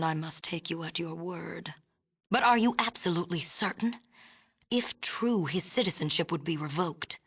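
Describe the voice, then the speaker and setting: A stern female ruler of a walled city speaks in a low, husky voice. Her delivery is formal and clipped, imperious and condescending, as scripted game dialogue. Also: a bit bright, exaggerated voice. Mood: guarded, grave.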